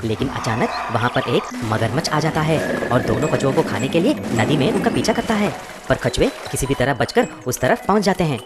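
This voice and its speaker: A woman narrating a children's cartoon tale. Her voice sits low but moves lively through the story. Clear background music plays throughout. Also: a little fast. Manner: expressive storytelling. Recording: music bed behind.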